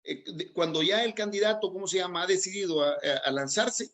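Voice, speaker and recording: A man's voice: high-pitched, brisk, fairly bright tone. A middle-aged man with a Salvadoran Spanish accent, answering political interview questions in a hesitant way, often repeating words. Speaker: interview guest, aspiring legislative candidate.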